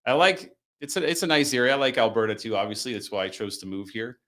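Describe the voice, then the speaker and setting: A man's voice: mid-range voice, expressive, quick pace, fairly bright tone. A man from the East Coast of Canada, a guest on a podcast interview, talking in a friendly way, laughing easily and correcting himself as he goes. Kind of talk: casual interview chat.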